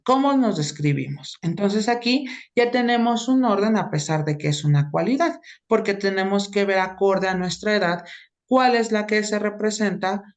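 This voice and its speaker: A woman teaching an online class, explaining step by step and often checking in with her students. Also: mid-range voice.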